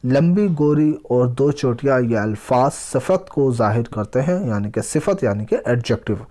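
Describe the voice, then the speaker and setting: Male teacher, mid-pitched voice, very unhurried, deliberate word-by-word delivery, repeats phrases, lesson narration. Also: mellow. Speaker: teacher explaining an Urdu textbook lesson for children. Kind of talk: lesson narration, reading aloud and explaining exercises.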